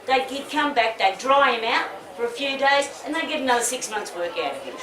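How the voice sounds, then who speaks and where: Middle-aged Australian woman, blunt and chatty festival speaker, slightly high voice, heard from afar in a roomy space. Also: animated, fairly quick. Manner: chatty, rambling, blunt, with swearing and laughs. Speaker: medical cannabis advocate giving a festival talk. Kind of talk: informal public talk with audience questions. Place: festival talk in front of an audience. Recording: echoey, distant mic, audience crowd audible.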